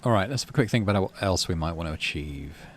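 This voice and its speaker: A man's voice: low-pitched, lively intonation, fast talker, slight background noise. A man casually commentating on a game, thinking aloud in murmured asides and reading story text out. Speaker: gaming channel host.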